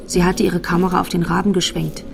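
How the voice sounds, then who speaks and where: Low, creaky female radio drama narrator. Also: little pitch movement, brisk. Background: music.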